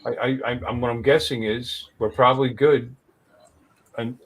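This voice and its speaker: A man's voice: medium pitch, fairly even intonation, normal speed, breathy edge. A man co-hosting a casual livestream chat over a video call, thinking aloud hesitantly and often repeating himself.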